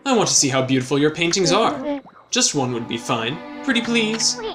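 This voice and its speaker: A flamboyant young male swordsman who teases with smug theatrical flair and French phrases, as a man voices him. His voice swoops widely in pitch. Loud game music runs behind. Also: very animated, moderate pace. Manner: teasing, theatrical, sprinkles French words.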